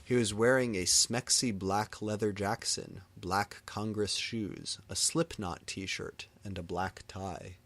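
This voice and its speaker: A man's voice: on the low side, steady tone, takes it slowly, hardly any pauses, fairly bright tone. A man reading fan fiction aloud in a dramatic, comedic style, pronouncing misspellings and stray symbols literally. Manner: reads misspellings and punctuation literally.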